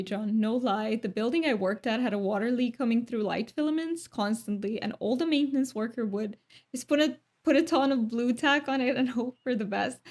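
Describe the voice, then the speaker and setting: A woman's voice: a little high, a little mellow. Chatty woman streamer. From Romania. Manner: chatty, thoughtful, laughs often. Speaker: streamer reading chat. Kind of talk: casual chat.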